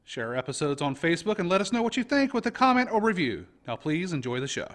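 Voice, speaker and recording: A man's voice: sits high, very animated, fast talker, a bit bright. An American man hosting a podcast in a conversational style. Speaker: podcast host.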